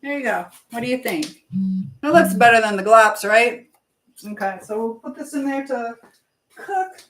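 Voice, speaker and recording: Higher-voiced woman livestreaming in an echoey room. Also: expressive, moderate pace, fairly bright tone, slight background noise. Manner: rambling, reading out and answering viewer comments. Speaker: livestream host. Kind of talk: casual live-chat talk. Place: indoors at home, in an echoey room.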